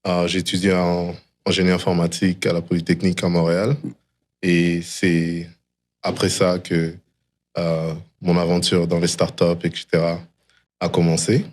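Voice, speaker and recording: A middle-aged man with a low-pitched voice speaks as a guest in a conversation. He recounts things reflectively, in a storytelling way, and drops English phrases into his speech. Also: completely flat delivery, moderate pace, a little mellow. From DR Congo and Canada. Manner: mixes English phrases into French. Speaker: interview guest, tech founder.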